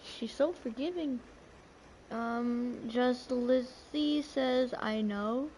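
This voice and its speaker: A woman's voice: fairly high pitch, extremely slow, a little mellow, low-quality recording. A woman game streamer giving casual commentary, reacting aloud in short remarks. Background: game music.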